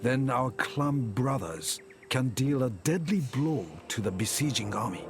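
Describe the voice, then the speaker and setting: A man's voice: medium pitch, lively intonation, measured pace, close to an everyday voice. A man playing a solemn, resolute samurai chronicler, giving dramatic scripted historical narration for a game cutscene over music. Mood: grave, hopeful. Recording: music behind the voice. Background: cinematic music.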